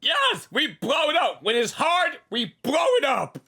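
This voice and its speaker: A gaming host giving casual live reactions in an excitable, playful, repetitive way. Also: high-pitched, unhurried. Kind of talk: casual live game commentary.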